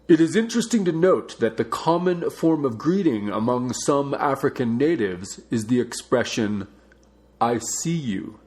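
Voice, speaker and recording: A man reading a non-fiction book aloud as a narrator, with measured, deliberate phrasing. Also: medium pitch, lively intonation, a little mellow. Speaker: audiobook reader.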